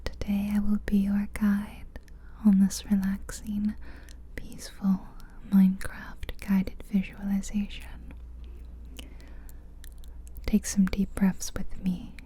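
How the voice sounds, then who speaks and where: A woman whispering gently in a breathy, soothing ASMR style, guiding a relaxing visualization with long unhurried pauses. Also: medium pitch, monotone. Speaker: ASMR guide narrating a guided visualization. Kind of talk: soft-spoken ASMR guided visualization. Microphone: close-up ear-to-ear ASMR microphone.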